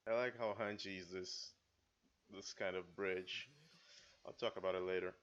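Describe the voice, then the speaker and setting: A man for whom English is a second language, calmly commenting on an anime episode in a casual, self-described stoic way, with dull lo-fi sound. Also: low voice, moderate pace. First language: not English. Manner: self-described stoic, muttering asides and apologies. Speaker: anime reaction video host.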